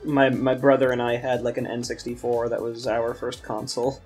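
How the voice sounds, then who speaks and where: A young man in casual podcast chat as a co-host, talking in a halting way and often rephrasing as he goes. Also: mid-range voice, monotone, darkish tone, slightly rough. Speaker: podcast co-host, game designer. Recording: music behind the voice. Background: background music.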